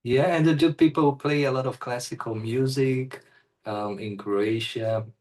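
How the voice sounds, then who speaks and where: Man, interview host, friendly, brief reactions, halting questions. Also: medium pitch, fairly even intonation, darkish tone.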